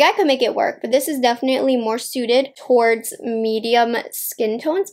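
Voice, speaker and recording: Female beauty vlogger, twenties, slightly high voice, informal talk to camera, chatty think-aloud delivery. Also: gravelly. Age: 20s. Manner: chatty, thinking aloud while applying makeup. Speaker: beauty vlogger reviewing makeup. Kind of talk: casual chat to camera, makeup review. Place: small indoor room at home.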